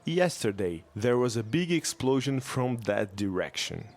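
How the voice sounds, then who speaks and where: An actor playing a gruff, skeptical local villager in a jungle adventure audio drama, deliberate and ominous. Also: male voice, medium pitch, sing-song. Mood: grave warning.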